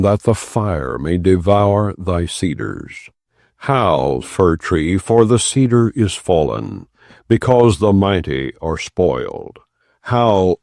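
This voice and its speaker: A man narrating scripture in a solemn, measured, scripted audiobook style, phrase by phrase. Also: low voice, creaky, dark tone, no room sound, studio quality. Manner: solemn, measured delivery in short deliberate phrases. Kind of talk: scripted narration, non-dramatized scripture reading.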